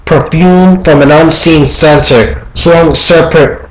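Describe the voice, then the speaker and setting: A man giving a deliberate, theatrical recitation of a Gothic poem, stumbling over words at times. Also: on the high side, echoey room, low-quality recording. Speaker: amateur poetry reader.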